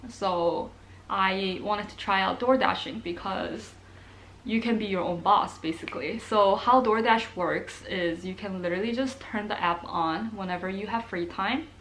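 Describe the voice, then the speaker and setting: A woman's voice: mid-range voice, unhurried, a bit bright. Chatty young woman vlogging to camera. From Korea. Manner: chatty, many ums and likes. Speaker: nursing student vlogger. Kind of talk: casual talk to camera. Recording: faint background noise.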